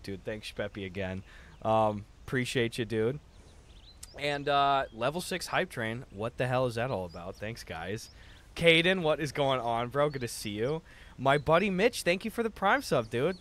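A man's voice: mid-range voice, fairly bright tone. A young adult man chatting casually on a gaming livestream, slangy, jokey and profane, with a slightly tired, worn-down voice. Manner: slangy, jokey, profane, often says "dude" and "bro". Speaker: livestream host. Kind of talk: casual gaming stream chat, reading out viewer messages. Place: indoor room at a streaming setup.

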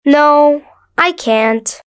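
A woman voicing a cheerful young schoolboy in a scripted textbook dialogue, speaking slowly and clearly. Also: high voice. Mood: curious. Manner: slow, clear, bright child-like delivery.

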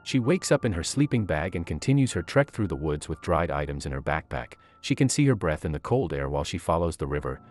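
A man narrating a movie recap in a scripted, steady storytelling manner. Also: sits low, quick pace, hardly any pauses.

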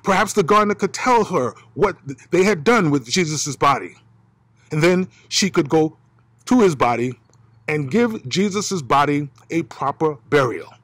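A man in his fifties preaching a sermon outdoors as pastor, with dramatic emphasis and slow stresses, filmed on a camera held at arm's length. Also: fairly high pitch, animated, fairly bright tone, no echo. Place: outdoors by pine trees. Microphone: phone or camera at arm's length.

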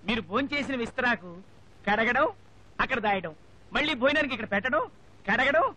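Grumbling comic household drudge, sarcastic, with a very high, piercingly bright voice. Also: male voice, a little fast, exaggerated voice, low-quality recording. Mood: resentful. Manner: complaining, sarcastic. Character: put-upon, grumbling male household drudge. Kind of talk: film comedy acting.

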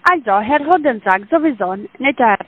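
A woman's voice: on the high side, animated, normal speed. Woman on a tinny phone line, hesitant. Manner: broken grammar, hesitant. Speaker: scam caller. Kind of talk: phone sales pitch. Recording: thin phone line, dry.

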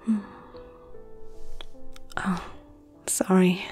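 A woman voicing a flirtatious, gentle female vampire noble in an intimate, teasing ASMR roleplay, soft and often close to a whisper. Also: fairly low pitch, flat delivery, very slow, faint music behind. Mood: sleepy, hopeful.

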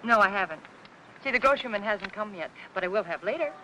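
An actress playing a gentle, anxious housewife in a 1950s Hollywood film, polite and hesitant, with an American accent. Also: female voice, medium pitch, animated, fast, muffled recording, noisy background, natural voice. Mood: kindly. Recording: old film soundtrack with music.

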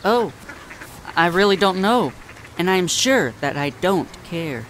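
A man playing a mild songbird who narrates a storybook tale in a measured, gentle way. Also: sits high, expressive, a little hiss or hum. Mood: mild, matter-of-fact.

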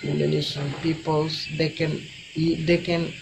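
A man in his thirties with a Bangladeshi accent, giving an excited reaction commentary in effusive, halting, repeated phrases. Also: on the high side, creaky, background noise. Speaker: reaction vlogger.

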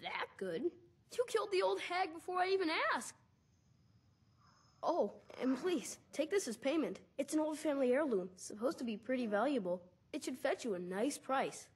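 A man playing an excitable, grateful young boy in a fantasy video game. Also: very high-pitched, normal speed. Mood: impressed, grateful.

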